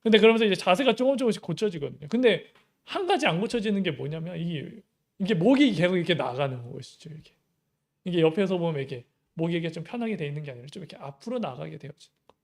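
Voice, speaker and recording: Male pastor, young adult, high-pitched, chatty sermon style with rhetorical questions. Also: animated, fast talker. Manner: conversational preaching with many rhetorical questions.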